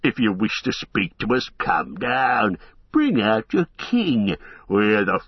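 A man's voice: on the low side, sing-song, takes it slowly, no echo, recorded from a distance, full character voice. A man doing jeering brutish orc warriors. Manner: mocking, taunting, gruff. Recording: dull lo-fi recording.

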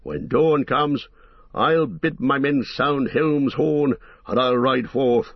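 A man's voice: mid-range voice, takes it slowly, gravelly, dry recording, voice pushed up. A man voicing a grave, brooding old king. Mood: resolute. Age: older. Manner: solemn, brooding, stately. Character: aging troubled male king, proud, grave. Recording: dull lo-fi recording.

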